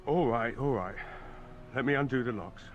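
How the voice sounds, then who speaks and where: An actor playing a frightened, grieving village blacksmith in a video game, shouting and pleading through a locked door. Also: male voice, mid-range voice, moderate pace, highs cut off, crowd noise, lightly acted. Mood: wary.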